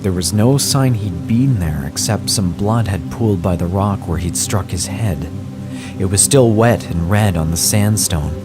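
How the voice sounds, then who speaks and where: Deep-voiced man narrating horror, music behind. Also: measured pace. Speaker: story narrator. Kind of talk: scripted horror story narration.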